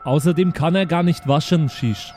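A naive alien youngster, played by a man, rather high in pitch but soft and mellow in tone. The character sounds hesitant and childlike, always questioning, over faint music in an audio comedy. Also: normal speed, lightly acted. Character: naive, slow-witted alien child, devoted to papa. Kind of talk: improvised audio drama acting.

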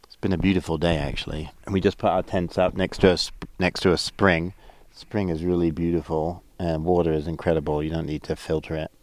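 A man with a very low voice chats about a long day on the trail in a relaxed, casual way, now and then swearing. He is worn out from hiking. He is recorded outdoors at a campsite on a clip-on mic. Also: fairly quick, no room sound. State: tired from hiking. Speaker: hiker vlogging a thru-hike. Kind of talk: casual trail diary chat. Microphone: clip-on mics.

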